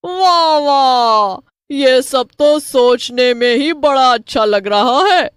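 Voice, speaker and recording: A woman's voice: sits high, lively intonation, takes it slowly, clear, resonant tone, no room sound, studio quality. A woman voicing a gloating, bloodthirsty witch with a menacing, cackling manner in a cartoon horror story. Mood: scheming, gleeful. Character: gloating, bloodthirsty witch who preys on people.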